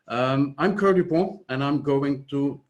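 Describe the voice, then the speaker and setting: A man from Flanders with a Flemish accent, whose first language is Dutch, giving a measured, explanatory online conference presentation over a video call. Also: mid-range voice, muffled recording. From Flanders, Belgium. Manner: measured, explanatory, trails off with "et cetera". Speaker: software company founder presenting.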